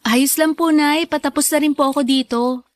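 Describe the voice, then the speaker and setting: A woman playing a respectful, devoted young daughter just out of school, gentle and earnest, in a radio drama. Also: high voice, steady tone, moderate pace, no room sound, close to an everyday voice. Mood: considerate. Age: young adult. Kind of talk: Filipino radio drama acting.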